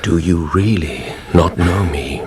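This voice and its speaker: A man acting a cool, vengeful aristocratic count in a radio drama, speaking formally and with measured politeness. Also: low-pitched, a little mellow. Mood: cold, vengeful.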